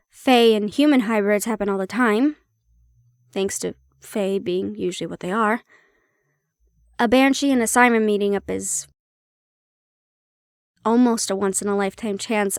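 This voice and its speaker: A woman's voice: mid-range voice, smooth, no room sound, studio quality, lightly acted. A woman playing a prickly, guarded banshee-siren hybrid in an intimate audio roleplay, explaining herself conversationally with some teasing.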